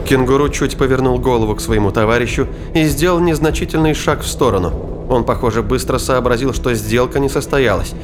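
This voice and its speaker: A man's voice: mid-range voice, brisk, background noise. A man giving a scripted, dramatic narration of a science fiction story. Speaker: audiobook narrator.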